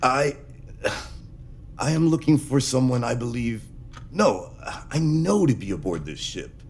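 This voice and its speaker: An actor playing an anxious, secretive alien dignitary, speaking hesitantly and earnestly in a science fiction audio drama. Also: male voice, mid-range voice, sing-song, unhurried, a little mellow. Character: anxious, secretive alien dignitary pleading for his endangered people.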